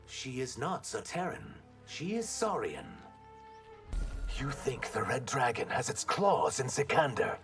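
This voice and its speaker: Guarded lizard shapeshifter in human form, earnest, pitch moves a lot, game dialogue with faint music. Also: male voice, sing-song, measured pace, a bit bright. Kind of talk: video game fight dialogue.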